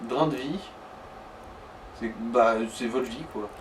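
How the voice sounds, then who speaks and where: A man's voice: mid-range voice, slow. A man giving casual gaming explanations to a player, piecing them together hesitantly as he goes. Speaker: advisor to the player in a let's play. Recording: distant mic, faint background noise.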